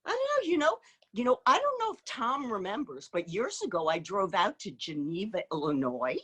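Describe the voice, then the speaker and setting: Expressive woman hosting storytime, lively pitch. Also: normal speed, slightly rough. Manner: expressive, playful. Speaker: storytime host. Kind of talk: children's storytime chat.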